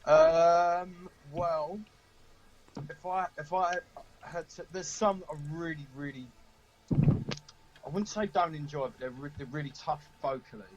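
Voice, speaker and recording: A man's voice: fairly high pitch, takes it slowly, long pauses. A man chatting casually as an interviewed band member, emphatic and self-deprecating. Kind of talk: casual chat. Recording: dull lo-fi call audio.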